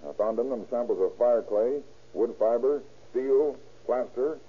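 A man's voice: mid-range voice, unhurried, slightly rough. An American man playing a matter-of-fact, self-assured police chemist in a 1930s radio crime drama. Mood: confident, explaining. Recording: muffled, tinny old radio recording.

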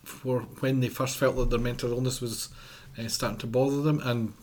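A man's voice: mid-range voice, flat delivery, moderate pace, a little mellow, a little hiss or hum. Earnest man giving advice. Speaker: mental health charity policy director. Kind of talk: podcast interview guest.

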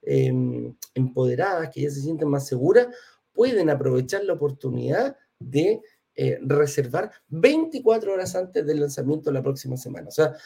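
A man's voice: fairly high pitch, creaky, dark tone, husky. A man with a Chilean accent hosting a live class and interview in an informal, chatty way. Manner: chatty, informal, uses affectionate diminutives. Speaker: host of a live real estate investment class.

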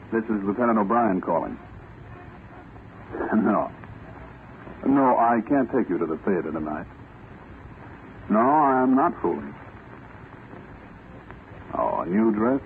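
An actor playing a dutiful, polite army lieutenant, crisp and earnest, in a 1940s radio drama. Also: male voice, on the low side, sing-song, slow pace, long pauses, heavily acted. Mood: apologetic, affable. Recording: tinny, hissy vintage radio recording.

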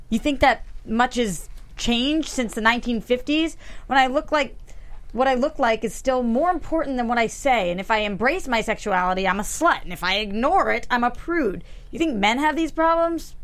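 A woman's voice: on the high side, normal speed, natural voice. A woman playing an assertive young newscaster, pointed and declarative, at a script table read. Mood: defiant.

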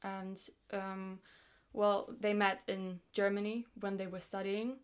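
A woman's voice: mid-range voice, little pitch movement, smooth. Young woman chatting as a guest. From Greece. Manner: informal, halting and explanatory, many repeats of "like". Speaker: podcast guest. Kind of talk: casual interview chat.

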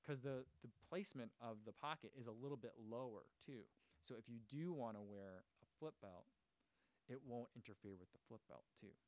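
A man's voice: mid-range voice, normal speed, a little mellow, dry recording. A man hosting a running channel, casually chatting and reading viewer comments aloud, rambling with lots of fillers and restarts. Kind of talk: casual livestream chat.

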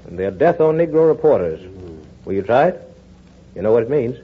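A man playing a brisk, commanding newspaper editor in a 1940s radio drama. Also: medium pitch, sing-song, husky. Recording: muffled 1940s radio broadcast.

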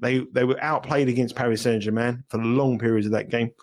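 London-accented male betting analyst, chatty and animated, mid-range voice. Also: medium pitch, brisk. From England. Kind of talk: podcast interview.

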